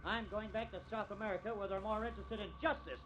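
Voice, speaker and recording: A man's voice: high-pitched, steady tone, fast, fairly bright tone. An actor playing a bitter, reckless cornered man, sardonic and defiant, in 1950s radio drama style. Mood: defiant, mocking. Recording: distant, dull, noisy old radio recording.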